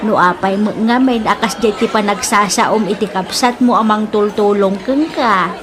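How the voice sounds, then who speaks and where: A woman's voice: mid-range voice, normal speed, a crowd in the background, lightly acted. A woman with an Ilocano accent playing a nagging, exasperated older aunt in a radio drama, scolding in a matter-of-fact way. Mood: reproachful.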